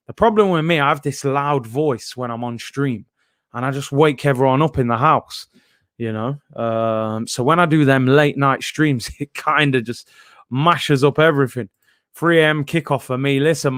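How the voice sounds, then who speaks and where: A man's voice: medium pitch, fairly bright tone, clear, resonant tone, dry recording, studio quality. A young man, casually hosting a live football stream from home and reading out viewer comments. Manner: chatty, reads viewer comments aloud. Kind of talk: casual live watchalong chat.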